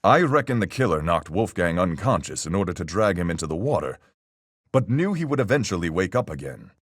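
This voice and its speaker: An actor playing a calm seafaring man in a video game, reasoning things through with folksy sailor talk in a husky, gravelly voice. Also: low-pitched, no echo, clean studio sound, exaggerated voice. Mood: thoughtful, explanatory. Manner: folksy nautical speech, measured and reasoning. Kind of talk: video game voice acting, deliberate argument.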